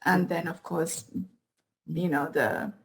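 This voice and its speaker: A woman who grew up in Sri Lanka, moderating a business panel on a video call, friendly and quick to laugh. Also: sits low, steady tone, vocal fry, poor audio.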